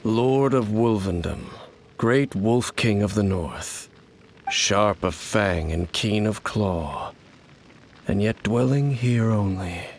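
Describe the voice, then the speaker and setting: A grave, low-voiced wanderer. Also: male voice, animated, a little hiss or hum. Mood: solemn. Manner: solemn, measured, knowing. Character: grave, mysterious wandering swordsman. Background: game music.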